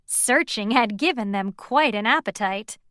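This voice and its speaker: A woman narrating a children's read-aloud storybook in an animated, deliberate way, as one who acts out the dialogue. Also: a little high, dry recording, clean studio sound.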